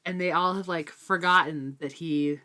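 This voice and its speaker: Low-pitched woman in casual book chat. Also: fairly even intonation, moderate pace, bright, forceful tone. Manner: informal, conversational, thinking aloud. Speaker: book discussion podcast co-host.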